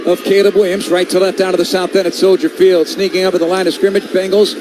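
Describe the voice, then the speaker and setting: A man's voice: high-pitched, little pitch movement, fast talker. A man calling football play-by-play live on the radio in clipped, vivid descriptions. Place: stadium broadcast booth. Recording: live booth with clear background noise.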